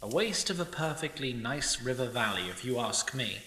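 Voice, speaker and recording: A man's voice: medium pitch, expressive, normal speed, pushed and bright, slight background noise. A man voicing a snarky, timeless male sprite who narrates an audio drama with opinionated asides. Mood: wry. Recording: roomy echo.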